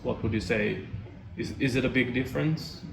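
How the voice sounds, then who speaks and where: Gravelly male interviewer, echoey distant sound. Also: medium pitch. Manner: halting, searching for words. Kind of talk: club interview questions. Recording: roomy, distant mic, noisy.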